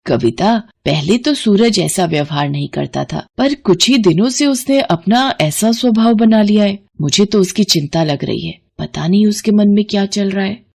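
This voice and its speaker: A woman's voice: mid-range voice, lively intonation, normal speed, vocal fry, a little mellow, slightly rough. A woman voicing an elderly Indian mother-in-law in a family storybook tale, firm and plain-spoken, stern but protective. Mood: worried.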